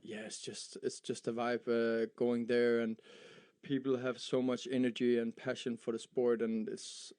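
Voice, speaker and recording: Casual: young danish guy, footballer, mellow smooth voice, stops and repeats himself a lot. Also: medium pitch, flat delivery. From Denmark. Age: young adult. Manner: halting, many fillers and repeated words. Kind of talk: post-match style interview.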